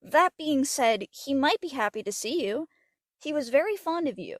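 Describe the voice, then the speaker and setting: A high, animated pony princess voice acted by a woman for an audio drama. She is polite and earnest, and the recording is close and dry. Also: normal speed, no room sound. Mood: gently cautioning. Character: kindly pony princess mentor and teenage alicorn princess.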